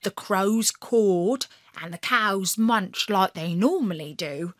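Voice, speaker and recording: A woman narrating a children's story in first person as a boastful hare, playful and lively. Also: medium pitch, takes it slowly, no echo, studio quality.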